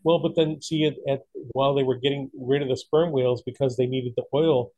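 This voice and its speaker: Casual male talker, medium pitch, opinionated delivery, dry recording. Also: steady tone, brisk, darkish tone, no echo, dull audio. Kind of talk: casual chat.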